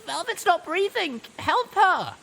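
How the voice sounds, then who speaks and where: A man's voice: extremely high pitch, big pitch swings, takes it slowly, vocal fry, bright, forceful tone, pitched above the performer's own voice, heavily acted. A man voicing a desperate, exhausted young female unicorn pony in an audiobook-style story reading. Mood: panicked shouting.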